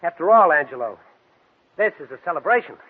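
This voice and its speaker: An actor playing a smooth, coldly controlled, scheming man in a 1940s radio drama. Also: on the high side, very animated, brisk. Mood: forced cheer. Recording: muffled, tinny vintage 1940s radio recording.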